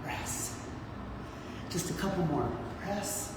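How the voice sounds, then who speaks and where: Low husky woman in her sixties coaching a workout, counts reps, echoey gym, mic far off, background noise. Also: expressive, slow. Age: 60s. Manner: encouraging, counts reps aloud. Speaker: fitness instructor. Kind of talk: guiding a workout class. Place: large gym.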